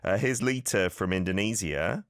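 A man hosting a radio show, coaching listeners through a phrase in a language he did not grow up speaking, relaxed and self-deprecating. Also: fairly low pitch, moderate pace, no echo, studio quality. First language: not Korean. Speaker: radio show host teaching Korean. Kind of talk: casual radio lesson chat.

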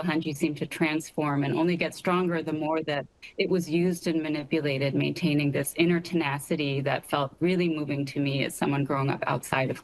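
A middle-aged American woman, raised in New York with Korean as her first language, speaks as an interview guest. Her voice is low for a woman. She explains things deliberately and articulately. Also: dry recording, rough recording. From suburban New York, United States. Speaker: guest, artist. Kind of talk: interview answers.

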